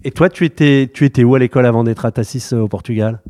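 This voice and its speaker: A man of ordinary middle pitch interviews students at a school. He talks in a loose, unscripted way, stopping and starting and filling gaps with hesitations. His questions come out relaxed and slightly rambling. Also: fast talker. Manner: loose and hesitant, with frequent fillers and restarts. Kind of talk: informal interview.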